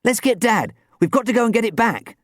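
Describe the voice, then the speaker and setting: A man's voice: high voice, sing-song, normal speed, no room sound, studio quality, voice pushed up. A man voicing an earnest, tentative, easily worried schoolboy in a children's story. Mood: panicked.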